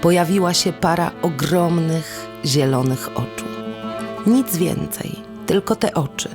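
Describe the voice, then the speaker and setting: A woman storyteller with a low voice and an unhurried, deliberate delivery, telling a traditional tale. There is some gravelly creak in her tone, and music plays underneath her narration. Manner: measured, deliberate phrasing with repetition for effect. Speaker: storyteller and host of a podcast series. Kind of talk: oral folk-tale storytelling, scripted narration. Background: instrumental music.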